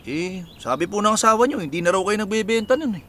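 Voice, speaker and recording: A man's voice: sits high, animated, fast talker, sharp tone. A man acting a wily young fisherman in a Filipino radio drama, coaxing and glib in colloquial speech. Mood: eager. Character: wily young fisherman who uses dynamite, slick and evasive.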